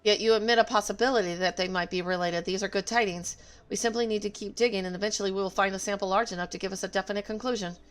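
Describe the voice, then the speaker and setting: A woman's voice: mid-range voice, steady tone, very fast. A woman game streamer talking casually on a livestream and reading game dialogue aloud. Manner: opinionated, emphatic.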